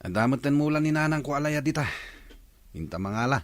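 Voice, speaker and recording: A man's voice: a little high, expressive, fast, no room sound, close to an everyday voice. A man playing a whiny, scheming young village son in an Ilocano comedy radio drama. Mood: scheming, chummy.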